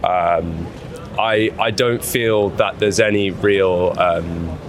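A man's voice: low voice, steady tone, slow pace. A young man being interviewed in a casual street conversation, hesitant, with many fillers and restarts. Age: young adult. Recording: crowd noise of a busy street.

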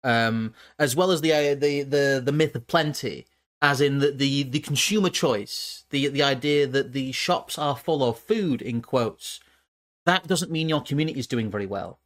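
A man's voice: mid-range voice, moderate pace, fairly bright tone. A man hosting a livestream, musing about food and often stumbling and repeating words. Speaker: streamer host. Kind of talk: casual livestream talk.

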